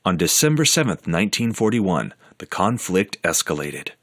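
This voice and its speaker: A man narrating a documentary in a measured, scripted storytelling manner. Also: low-pitched, very animated, a bit bright, breathy edge.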